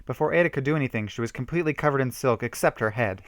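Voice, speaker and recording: A man reads a horror story aloud in an amateur, theatrical way. His voice sits at a middle pitch, and the sound is dry and close, with almost no room on it. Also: very fast, no room sound. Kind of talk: amateur dramatic reading of a homemade horror story.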